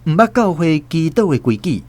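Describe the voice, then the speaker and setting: Animated Taiwanese male storyteller. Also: fairly high pitch, normal speed. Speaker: narrator. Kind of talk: dramatic scripted story narration.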